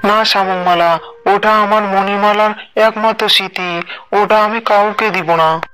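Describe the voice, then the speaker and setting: A man's voice: high-pitched, fairly even intonation, measured pace, pushed and bright, faint music behind. A man voicing an earnest, firm and caring father creature in a cartoon story. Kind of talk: dramatized cartoon story acting.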